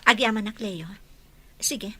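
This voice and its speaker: Woman acting a gentle, dutiful mother. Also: medium pitch, takes it slowly, a little hiss or hum. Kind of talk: Ilocano radio drama acting.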